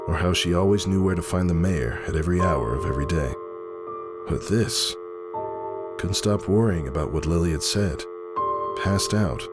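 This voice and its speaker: Somber, suspicious pony husband, read by a man, deep-voiced dramatic first-person storytelling over music. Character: brooding male pony husband, anxious and suspicious. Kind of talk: dramatic audiobook narration.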